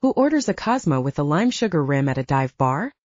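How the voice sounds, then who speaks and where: A woman's voice: deep voice, big pitch swings, a little fast, a little mellow, no echo, muffled recording. A woman voicing a teasing, determined male hero in a werewolf audiobook, with casual, wry banter. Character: teasing, determined man, first-person hero of werewolf story. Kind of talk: audiobook character acting.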